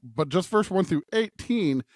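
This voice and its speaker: A man's voice: sits high, animated, a little slow, a little mellow, no room sound, clean studio sound. A man co-hosting a podcast, casually discussing scripture and thinking aloud as he checks in with his partner. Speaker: podcast co-host.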